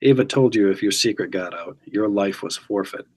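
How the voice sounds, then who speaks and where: A guarded, suspicious male agent, as a man reads him in a story, gruff and blunt. His voice is fairly low and husky, and his retorts are terse and dry. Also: normal speed, a little mellow. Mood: grim.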